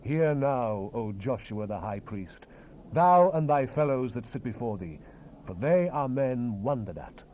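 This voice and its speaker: A stern, majestic God played by a man declaims solemnly in a dramatized scripture reading. The delivery swings widely in pitch with a mellow tone, over the hiss of an old cassette. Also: lively intonation, a little slow, close to an everyday voice. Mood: commanding. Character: the Lord God, commanding, majestic, stern male deity. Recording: hissy 1970s cassette rip.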